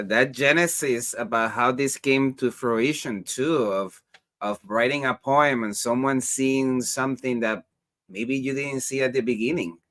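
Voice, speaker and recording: Man hosting an interview, pausing as he asks. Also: medium pitch, fairly bright tone. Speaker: podcast host. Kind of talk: interview conversation.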